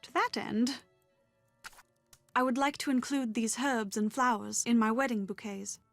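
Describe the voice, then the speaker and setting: A woman's voice: fairly high pitch, steady tone, a little slow, dry recording. A woman playing a poised, exacting young bride-to-be in a video game, speaking formally and precisely. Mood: composed, prudent. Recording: music behind dialogue.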